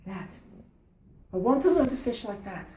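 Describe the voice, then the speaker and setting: A man's voice: high-pitched, very animated, normal speed, dark tone, pitched above the performer's own voice. A man voicing an eager, earnest twelve-year-old boy in a told folk tale. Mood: determined. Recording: roomy, echoey, distant mic, faint noise.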